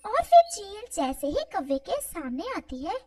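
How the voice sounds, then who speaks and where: Very high-pitched woman narrating. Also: sing-song, a little slow, dark tone, recorded from a distance. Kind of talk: scripted narration of children's moral story.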